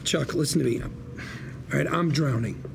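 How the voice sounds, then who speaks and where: A man acting a struggling, street-smart lawyer and younger brother at a drama table read, colloquial, halting and pleading. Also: medium pitch. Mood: exasperated, urgent. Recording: clearly noisy room recording.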